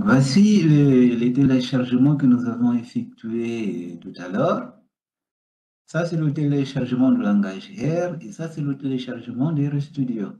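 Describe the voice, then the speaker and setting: A man whose first language is Portuguese, giving an unhurried, step-by-step software tutorial with many hesitations. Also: mid-range voice. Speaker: data science instructor. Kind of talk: screen-recorded software tutorial. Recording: roomy, dull lo-fi sound.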